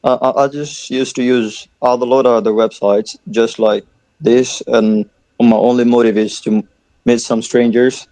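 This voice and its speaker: A man's voice: medium pitch, fairly even intonation, measured pace, warm tone, no echo, poor audio. A man giving casual advice on an online voice call, often repeating his words. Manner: repeats words while advising.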